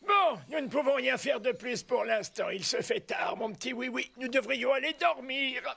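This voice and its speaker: Old gnome wizard for a kids cartoon, creaky n bright, kindly, measured. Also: male voice, extremely high pitch, full character voice. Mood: wistful. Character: kindly old gnome magician, fatherly and wistful. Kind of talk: French children's cartoon dub.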